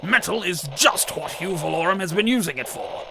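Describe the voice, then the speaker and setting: High, creaky, nervous scientist. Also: male voice, expressive, moderate pace, noisy background. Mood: earnest, explaining. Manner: stammering, formal, precise wording. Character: nervous, fussy male scientist, a secret informant. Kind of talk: old-time radio drama acting.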